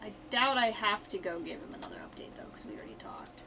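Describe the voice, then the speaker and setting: A woman's voice: mid-range voice, big pitch swings, fast, creaky, whispered. A woman giving casual live gameplay commentary as a streamer, often muttering and thinking aloud. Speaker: game streamer.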